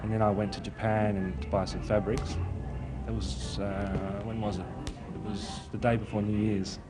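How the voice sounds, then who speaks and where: A man's voice: lowish voice, level tone, moderate pace, over loud music. A young man, born in Italy, chatting in a hesitant, rambling way about his clothing business in a casual street interview. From born in Italy, raised in Australia. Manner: rambling, hesitant, full of "sort of". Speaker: clothing designer and shop owner. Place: outdoors on a city street. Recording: street traffic noise behind.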